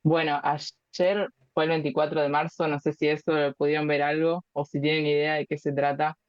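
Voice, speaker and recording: Young Argentine woman, clear voice. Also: sits low, level tone, normal speed, dry recording, dull audio. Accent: Argentine Spanish. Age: 20s. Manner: thoughtful, hesitant, many fillers. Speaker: guest. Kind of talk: casual interview chat.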